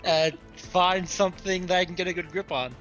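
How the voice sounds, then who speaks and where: Male tabletop player, notably high and forceful voice, informal game-table talk, music in the background. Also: steady tone, moderate pace, lo-fi sound. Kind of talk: casual game-table chat.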